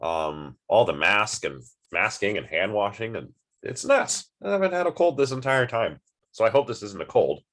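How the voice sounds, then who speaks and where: A man's voice: lowish voice, sing-song, moderate pace, fairly bright tone. A man with a sniffly, stuffed-up voice giving a casual online philosophy lecture, chatty and rambling with many fillers and restarts.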